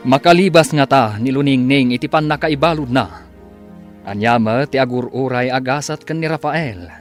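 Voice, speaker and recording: A man's voice: mid-range voice, moderate pace, soft background music. A man with an Ilocano Filipino accent giving dramatic scripted narration as a radio drama announcer.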